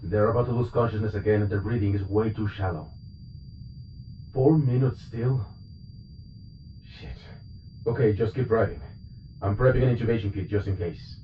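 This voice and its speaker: A man playing a caring, worried ambulance medic who gently reassures an injured patient in an immersive audio roleplay. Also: lowish voice, moderate pace, long pauses, highs cut off, a little hiss or hum, natural voice. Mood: urgent. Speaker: voice actor.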